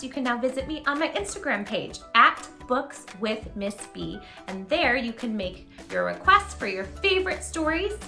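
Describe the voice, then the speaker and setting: A woman hosting a read-aloud storytime for young children, speaking in an animated, emphatic way straight to her little listeners. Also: high voice. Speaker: children's storytime host and tutor.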